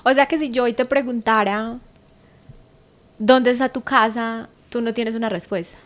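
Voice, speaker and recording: A woman's voice: a little high, steady tone, moderate pace. A woman hosting a podcast, asking curious, probing questions. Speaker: podcast host. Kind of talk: interview questions.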